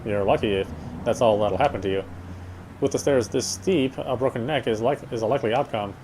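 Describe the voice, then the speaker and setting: A man's voice: mid-range voice, fairly quick, very noisy, natural voice. An actor playing a tender, self-deprecating young man in a visual novel, introspective and earnest, with guitar music behind him. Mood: dejected, wry. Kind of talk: first-person visual novel narration and dialogue.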